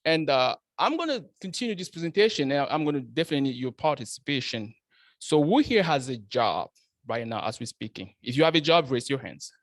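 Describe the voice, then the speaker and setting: A man's voice: medium pitch, brisk, no room sound. Dry-sounding man giving a speech. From Cameroon. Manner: repeats words and restarts, lively rising emphasis. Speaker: club speaker giving a first speech. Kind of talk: prepared speech with audience questions.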